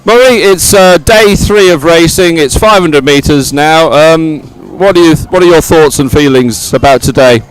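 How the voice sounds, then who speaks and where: Male live event presenter, fairly high voice, effusive and repetitive, recorded outdoors. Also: normal speed, hardly any pauses, rough recording. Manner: effusive, repeats words. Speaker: sports broadcast presenter. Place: outdoors at a regatta stadium.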